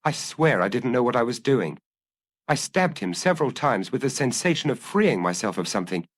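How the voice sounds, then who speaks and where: A man's voice: mid-range voice, sing-song, a little fast, dry recording, clean studio sound. A man voicing a troubled young Frenchman in an audiobook, melancholy and hesitant as he explains himself earnestly. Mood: confessional, agitated. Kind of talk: dramatised audiobook dialogue.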